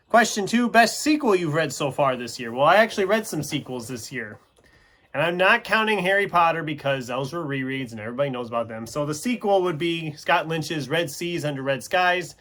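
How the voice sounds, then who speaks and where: A man with a somewhat high voice chats casually as a video host sharing his opinions. He speaks off the cuff in a conversational, rambling way, often breaking off and restarting his thoughts. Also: fairly bright tone. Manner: rambling, self-interrupting, conversational. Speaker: book reviewer video host. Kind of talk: casual unscripted chat to camera.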